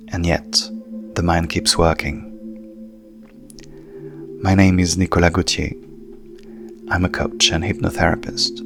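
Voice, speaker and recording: A man speaking as a hypnotherapist in a calm, hushed, soothing manner, softly guiding a sleep meditation with long pauses. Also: low-pitched, vocal fry. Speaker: coach and hypnotherapist guiding a session.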